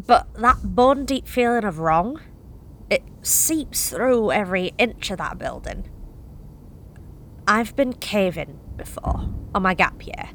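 A woman's voice: lowish voice, a bit bright, a little hiss or hum. Young woman, wry confessional narration. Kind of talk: first-person horror story reading.

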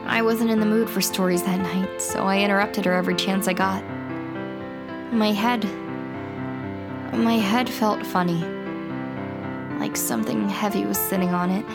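A woman's voice: mid-range voice, steady tone, moderate pace, pauses often, over loud music, close to an everyday voice. A woman playing a wry, chatty young radio broadcaster in an audio drama. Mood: wistful, somber. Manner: wry, playful, wordy.

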